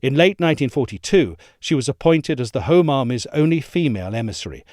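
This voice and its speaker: A man giving a measured, articulate scripted narration of a history essay. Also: mid-range voice, lively intonation, a little mellow, no echo, studio quality. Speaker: essay reader.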